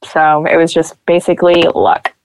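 Chatty Midwestern woman, low and dry. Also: level tone, moderate pace, clean tone, no room sound, poor audio. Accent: flat Midwestern. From Nebraska. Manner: chatty, self-deprecating, acts out quotes with a lifted voice. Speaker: podcast guest, model. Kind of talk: casual interview storytelling.

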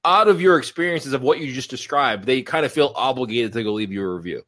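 A man hosting a podcast who asks questions in a friendly way and reacts with quick agreement. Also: medium pitch, lively intonation, pushed and bright. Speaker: podcast host. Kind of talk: casual interview chat.

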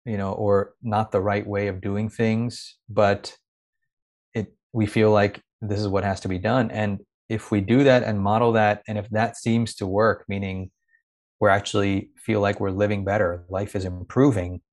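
A man's voice: on the low side, flat delivery, moderate pace, a little mellow. A man who grew up in India, now a young adult, speaking in a thoughtful, searching way as an interview guest, often restarting his sentences. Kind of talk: reflective conversational interview.

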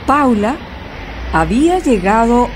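A woman's voice: on the high side, very animated, slow, slight background noise, low-quality recording. A woman narrating a radio drama in a scripted, dramatic storytelling style.

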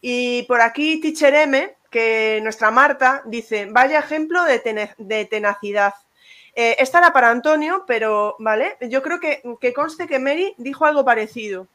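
A woman's voice: a little high, unhurried, a bit bright. A woman hosting an interview who reads viewer comments aloud and puts questions to her guest. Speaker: host. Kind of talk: hosting a live-stream interview.

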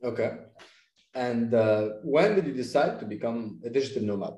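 A man hosting an interview podcast, speaking conversationally with a halting, hesitant delivery. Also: medium pitch, expressive, warm tone. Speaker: podcast host. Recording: roomy, echoing sound.

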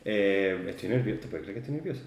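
Man, 30s, lowish voice, jokey podcast co-host, echoey room. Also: animated, fast talker. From Málaga, Spain. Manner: jokey, self-mocking, stumbling restarts. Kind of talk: casual chat.

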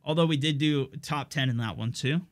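A man's voice: mid-range voice, a little fast. Rambling young male streamer. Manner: rambling, restarts, lots of fillers. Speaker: streamer hosting his channel. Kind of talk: casual chat with viewers.

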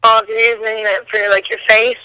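A woman singer chatting casually as a guest, with a hoarse voice, who mumbles and restarts her sentences. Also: on the high side, flat delivery, a little fast, pushed and bright, no room sound, low-quality recording. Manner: mumbles, talks in loose restarts. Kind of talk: casual interview chat.

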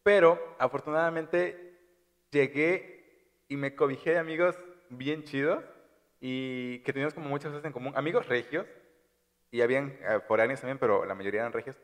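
A man from Chiapas, chatting relaxed on a podcast with lots of agreeing fillers. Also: mid-range voice, normal speed. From Chiapas, Mexico. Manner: relaxed, many "ajá" fillers. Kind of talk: casual podcast chat. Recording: long room reverb.

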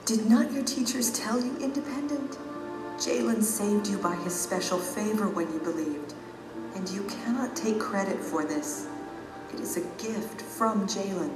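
An actress plays a composed woman of a king's court in an audio drama. Her medium-pitched delivery is measured and gently reproving, like instruction. The sound is very echoey, with music clearly behind it. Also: close to an everyday voice. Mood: composed reproof. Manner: measured, didactic. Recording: echoey room, music underneath.

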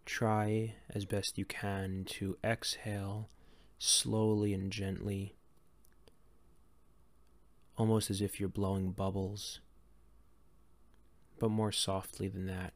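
A man leading a guided meditation in a gentle, calm, unhurried way with long pauses between phrases. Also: lowish voice, no room sound. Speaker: meditation guide and series host.

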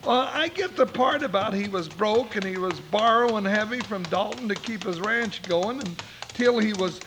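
A man's voice: animated, moderate pace. A man playing a folksy, blustering, loyal cowboy sidekick with an American accent in an old Western radio drama. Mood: folksy, puzzled. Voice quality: high-pitched, bright. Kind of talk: 1950s Western radio acting. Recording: old radio recording with faint noise.

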